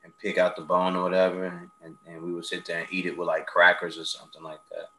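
A man's voice: sits low, fast, slight background noise. A man in casual conversation, telling stories animatedly and acting out the people he quotes. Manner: animated storyteller, acts out quoted friends. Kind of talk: casual chat, storytelling. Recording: echoey room.

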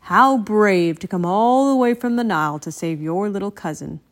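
A woman's voice: medium pitch, moderate pace, close to an everyday voice. A woman voicing a big, courteous father crocodile in a children's storybook. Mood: gentle, curious. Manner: courteous, earnest, emotional.

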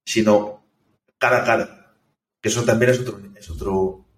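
A man, a software developer, talking casually in an interview, thoughtful and hesitant, with many restarts. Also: lowish voice, fairly even intonation, normal speed. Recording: echoey room, distant from mic.

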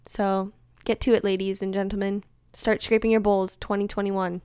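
Chatty young woman, crisp and dry. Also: medium pitch, normal speed, dry recording. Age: young adult. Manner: chatty, animated and exasperated, many likes and ums. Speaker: podcast host. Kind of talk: casual solo podcast chat.

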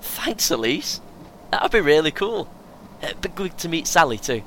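Guy acting cheeky chatty young british lad in a radio play, bright forward voice, vehicle rumble behind. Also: big pitch swings, normal speed. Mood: friendly. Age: young adult. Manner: casual, laddish. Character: cheeky, chatty young male marathon runner, 23. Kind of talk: audio play dialogue. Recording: clear vehicle rumble noise. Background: vehicle ambience.

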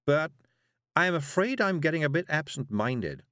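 A timid, genteel lady witch from a storybook, acted out by a male narrator, pitched well up. The delivery dithers and apologizes, with lively swings in pitch and a close, dry sound. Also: a little slow, no echo. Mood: worried. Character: fussy, absent-minded, timid middle-aged woman witch.

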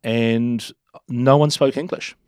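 A middle-aged man with a New Zealand accent, a guest telling stories in a relaxed, self-deprecating way with many restarts. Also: medium pitch, takes it slowly, clear, resonant tone, no echo, studio quality. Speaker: interview guest. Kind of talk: relaxed storytelling.

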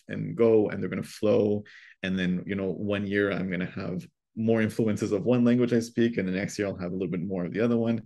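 A young man with a low, gravelly voice talks in a relaxed, thoughtful way. He often pauses to find his words. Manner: reflective, thoughtful, speaks with pauses. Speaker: podcast guest, language educator. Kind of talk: casual conversation.

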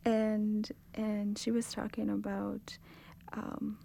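A woman's voice: mid-range voice, completely flat delivery, slow pace, gravelly, dark tone. A young woman hosting a student podcast. She asks open questions in a friendly way and backs up the other speakers with frequent murmurs. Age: young adult. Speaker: podcast host. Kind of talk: casual panel discussion.

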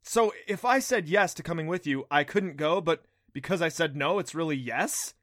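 A man voicing an earnest, excitable and easily confused boy hero in a storybook, with a hesitant, exclaiming manner. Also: on the high side, moderate pace, no echo, studio quality, pitched above the performer's own voice. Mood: amazed, confused.

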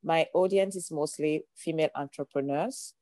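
A woman's voice: sits low, moderate pace, warm tone, no echo. A young woman from Cameroon with a Cameroonian accent, speaking as a podcast guest, earnest and reflective, building her points through repeated phrases. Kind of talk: podcast interview.

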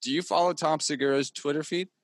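A man hosting a casual podcast, excitable and swearing freely, with lots of "dude.". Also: fairly high pitch, fast, no room sound, clean studio sound.

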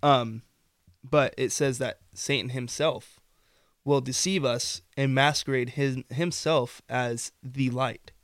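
Hoarse young-sounding man, dry close sound. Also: medium pitch, fairly even intonation, slow, fairly bright tone, no room sound. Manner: earnest, rambling with fillers. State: losing his voice, coughing. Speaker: podcast co-host. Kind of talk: casual faith discussion.

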